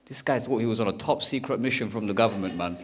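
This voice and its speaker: A young man does stand-up on a comedy stage. He tells personal stories and jumps in and out of the voices of the people in them. He keeps the delivery animated and chatty. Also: fairly low pitch, fast talker. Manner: animated, acts out every character's lines. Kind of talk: stand-up storytelling.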